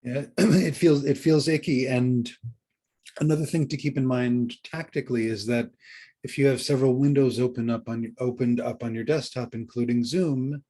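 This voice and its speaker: A man co-hosting a podcast in a conversational style, somewhat halting and repeating phrases for emphasis. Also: medium pitch, fairly even intonation, mellow. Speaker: podcast co-host and book coach.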